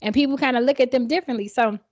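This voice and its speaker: A woman co-hosting a podcast, thinking aloud in a relaxed, conversational way. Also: high voice, racing, dull audio. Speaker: podcast co-host. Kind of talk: casual chat.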